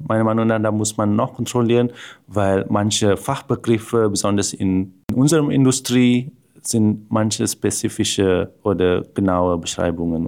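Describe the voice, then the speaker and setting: A man speaks as an interviewed marketing employee, in his own unscripted words. His voice is on the low side. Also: gravelly, a little mellow.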